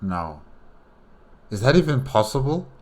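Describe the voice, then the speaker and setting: A man's voice: mid-range voice, sing-song, a little slow. A man giving casual reaction commentary, thinking aloud with many questions and repeated words. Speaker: reaction video host.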